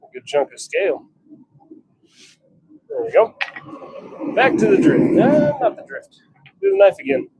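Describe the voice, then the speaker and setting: A man with a fairly high voice and very animated pitch chats casually on a livestream as he works. He talks in short, wry remarks, half to himself. Clear workshop noise sits behind him. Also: a little slow, long pauses. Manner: muttering self-commentary in short clipped remarks, wry. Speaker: livestream host forging knives. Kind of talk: casual livestream chat and running commentary while working. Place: blacksmith workshop with forge.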